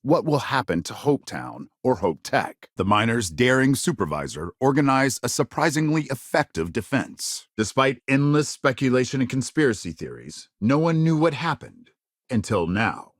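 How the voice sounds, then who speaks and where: An actor playing a grave, polished sci-fi news anchor in a video game, reading scripted bulletins with authoritative, dramatic emphasis. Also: male voice, mid-range voice, sing-song, normal speed, no room sound, studio quality. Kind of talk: scripted broadcast news delivery.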